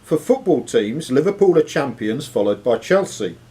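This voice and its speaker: A man's voice: medium pitch, very animated, normal speed, mellow. A man working as quizmaster, reading quiz questions aloud to contestants. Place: social club room with players around. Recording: noisy live room recording.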